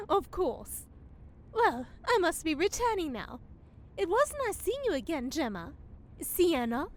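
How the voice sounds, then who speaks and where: Very high, prim young woman actress. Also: very animated, slow, pauses often, exaggerated voice. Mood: politely barbed. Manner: formal, politely barbed. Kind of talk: comedic fantasy audio drama acting.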